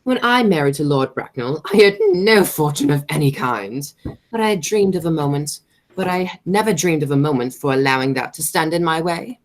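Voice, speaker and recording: A woman's voice: low-pitched, expressive, normal speed. Woman playing swooping, imperious aristocratic aunt. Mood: grandly condescending. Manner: pompous, declamatory, stumbles over words. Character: imperious, snobbish, money-minded aristocratic English aunt. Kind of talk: stage comedy as amateur radio play.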